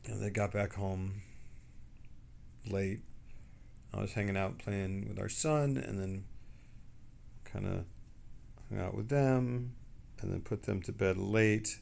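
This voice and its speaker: A middle-aged man who sounds tired, chatting casually on a livestream in a rambling, halting way and trailing off mid-sentence. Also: on the low side, vocal fry, a little mellow, slight background noise.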